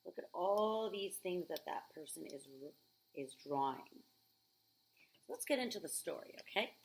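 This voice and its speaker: A woman reading a picture book aloud to children, expressive, with chatty questions and asides. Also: lowish voice, normal speed, creaky, slight background noise, poor audio.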